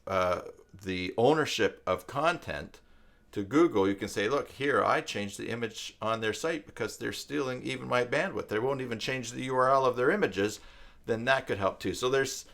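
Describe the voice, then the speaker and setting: A man hosts a casual live stream. His voice sits fairly low. He talks to viewers in a rambling, halting way, thinking aloud as he goes. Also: a bit bright. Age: 50s. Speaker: live stream host, web tutorial presenter.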